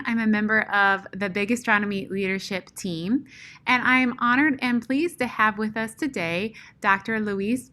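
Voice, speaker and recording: A woman's voice: medium pitch, bright, forceful tone. A woman hosting an online webinar, giving an upbeat, announcing introduction with "uh" fillers. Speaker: host. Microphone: video call.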